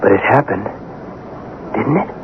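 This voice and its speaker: Actor as earnest American assistant, tinny old radio. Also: male voice, on the low side, a little slow, heavy background noise, lightly acted. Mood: bewildered. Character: earnest, puzzled male assistant to a theater producer. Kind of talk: 1970s radio drama acting and narration.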